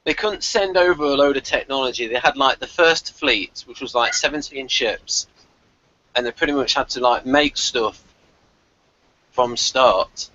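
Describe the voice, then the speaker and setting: A man's voice: medium pitch, unhurried, pushed and bright, highs cut off. A man in a casual review discussion, stammering and repeating his words as he makes his points. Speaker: podcast reviewer.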